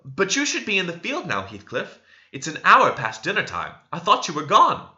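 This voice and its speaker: Male reader as proud, fretful teen girl from a classic novel: raised pitch, bright, coaxing, lo-fi sound. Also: huge pitch swings, normal speed, exaggerated voice. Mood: flustered, chiding. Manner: hesitant, coaxing.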